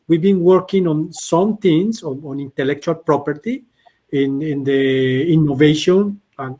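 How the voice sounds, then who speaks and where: A man's voice: fairly high pitch, darkish tone. A man answering a question on an online panel, explaining at length and repeating words as he restarts. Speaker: company representative answering questions.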